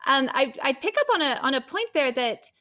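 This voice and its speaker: Expressive woman explaining as a guest. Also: high voice, a little fast. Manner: lively and expressive, explaining with examples. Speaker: guest, singer and vocal health specialist. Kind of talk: explanatory interview talk.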